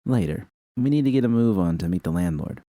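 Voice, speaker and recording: A man reading a fan story voices an eager young woman tech, pitching his voice up for her lines. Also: animated, fairly quick, warm tone, no room sound, clean studio sound.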